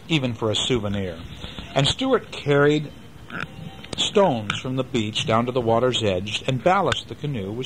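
An older man reading a children's book aloud at home and chatting gently with a child between passages. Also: medium pitch, noisy background. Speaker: father reading a bedtime story. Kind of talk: bedtime story reading to a child.

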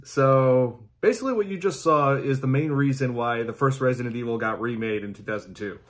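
A man in his thirties chatting casually as a reaction host, informal and halting, often repeating himself. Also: medium pitch, slight background noise. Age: 30s. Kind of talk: casual reaction chat to camera. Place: small indoor room at home.

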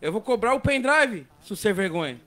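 A man with a southern Brazilian accent telling stories in casual, animated, slangy podcast chat. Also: high-pitched, a little fast, crowd noise. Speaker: football coach. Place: small indoor podcast studio with several people at a table. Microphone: close studio mic on boom arm.

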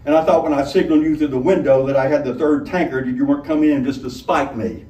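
A man's voice: on the high side, steady tone, quick pace, warm tone, close to an everyday voice. A polite, wry male traveler from a storybook, read by a man speaks in well-formed sentences. The voice is roomy and a little far from the microphone. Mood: dryly wry. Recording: roomy, distant microphone, some noise.

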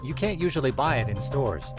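A man's voice: medium pitch, big pitch swings, over music, lightly acted. Geeky, composed tech expert played by a man. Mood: explanatory. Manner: explanatory, measured. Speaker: voice actor, video game English dub. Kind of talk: video game cutscene dialogue.

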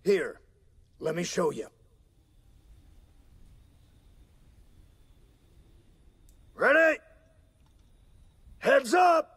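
An actor playing a cocky, cranky middle-aged American hacker in a video game, with a folksy, sardonic drawl. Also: male voice, high voice, huge pitch swings, takes it slowly, gravelly, fairly bright tone, breathy edge, no echo, heavily acted. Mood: wary, sardonic, then gleeful. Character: cranky, cocky older male hacker turned junkyard sculptor.

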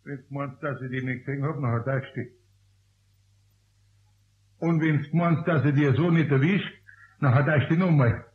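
A man playing a grumpy older craftsman in a radio play, gruff and dry, with a Bavarian accent. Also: on the high side, normal speed, pauses often, lightly acted. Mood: grumbling. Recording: dull, echoey old radio play recording.

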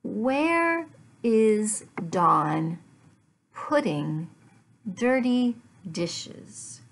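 A middle-aged woman gives a language lesson for learners. Her delivery is extremely slow and deliberate, broken into short phrases with clear pauses. Her mid-pitched voice often drops into a gravelly creak. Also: sing-song. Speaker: English teacher. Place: small living room at home, indoors.

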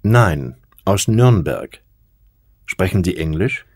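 A man reading the lines of a dialogue partner with careful, deliberate pronunciation. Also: low voice, big pitch swings, darkish tone, dry recording, clean studio sound. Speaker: course speaker voicing a dialogue partner. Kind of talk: slow scripted dialogue reading.

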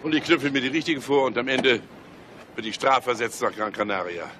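An actor in a German radio drama playing a wry, exasperated police chief. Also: male voice, mid-range voice, a little fast, noisy background, natural voice. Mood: wry exasperation.

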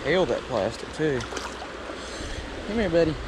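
A young man, excitedly narrating as he fishes in a river, recorded on a head-mounted camera. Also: on the high side. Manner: excited, folksy exclamations. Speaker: fishing vlogger. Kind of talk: casual narration while fishing. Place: outdoors wading in a river. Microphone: head-mounted action camera. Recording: outdoor camera mic with rushing water noise.

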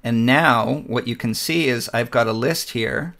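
Guy talking through a software tutorial, mid pitch, easygoing, lots of uhs. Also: unhurried, gravelly. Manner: relaxed step-by-step explaining with frequent fillers. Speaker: photography software instructor. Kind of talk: screen-recorded software tutorial.

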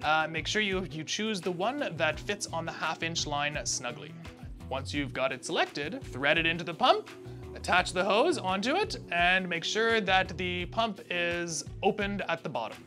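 A man's voice: high voice, lively intonation, measured pace, pushed and bright, soft background music. A man in his thirties giving a step-by-step installation tutorial in a small indoor room, with frequent "uh" fillers. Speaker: tutorial presenter. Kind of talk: instructional how-to narration.

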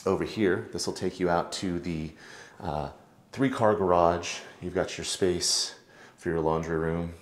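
A man giving a relaxed, chatty walkthrough of a house for sale, moving between rooms and the backyard as he films. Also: sits low, measured pace. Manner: relaxed, chatty, lots of filler words. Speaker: real estate agent giving a home tour. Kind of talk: casual walkthrough narration. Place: house, indoors and outdoors.

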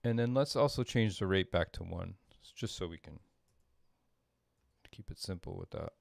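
Low, gravelly middle-aged man. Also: animated, no room sound, clean studio sound. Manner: halting, thinking aloud as he works. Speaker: tutorial presenter, composer. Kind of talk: casual screencast coding demo. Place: small home room, indoors.

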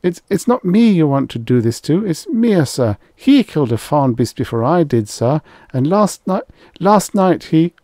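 A man's voice: fairly high pitch, big pitch swings, moderate pace, warm tone, no echo, studio quality, voice pushed up. A man voicing a frightened, servile hunting guide who stammers and pleads as he reads a science fiction story. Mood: panicked. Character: terrified, pleading, cowardly male hunting guide.

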